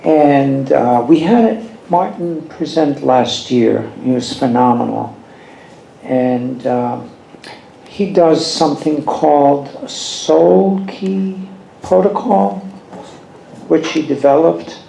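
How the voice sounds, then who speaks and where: A man hosting an event introduces the next speaker slowly and formally. His voice is gravelly and mellow. It echoes through the hall with a faint hiss behind it. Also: on the high side. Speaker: event host. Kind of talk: stage introduction.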